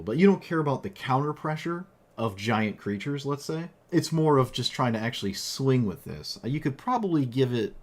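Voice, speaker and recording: A man who is losing his voice after a long stream, talking casually about a card game deck in a rambling way with lots of fillers and restarts. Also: mid-range voice. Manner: rambling, with frequent fillers and self-corrections. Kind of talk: casual gameplay commentary.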